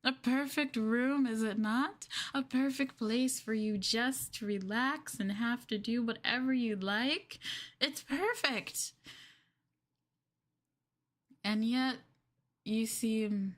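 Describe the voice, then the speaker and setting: A woman acts out a possessive yandere girlfriend in an ASMR roleplay. She speaks very slowly in a highish voice, cooing and coaxing the listener with sweet menace. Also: animated. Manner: cooing, coaxing, breaking into giggles and whispers.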